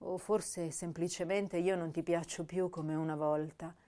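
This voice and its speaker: Slow, anguished young woman poet, played by an actress. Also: fairly low pitch, fairly even intonation, warm tone, natural voice. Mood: self-reproachful, wounded. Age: young adult. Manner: slow, emotive, theatrical delivery. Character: anguished young woman poet, tender, self-reproaching, intense. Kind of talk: dramatic reading of letters and poems.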